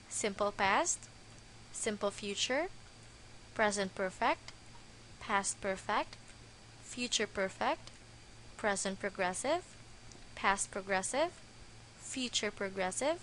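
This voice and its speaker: Slow, careful woman teaching grammar. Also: a little high, fairly bright tone, slight background noise, low-quality recording. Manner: slow, careful, deliberate delivery. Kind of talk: scripted lesson narration.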